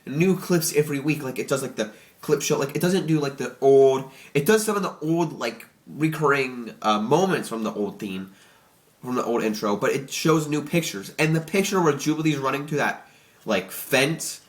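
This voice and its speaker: A young man talks through a casual review with a stuffy, slightly nasal voice from allergies. His pitch sits in an average range. He rambles excitedly, tripping over his own words and restarting sentences. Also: moderate pace. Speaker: YouTube reviewer.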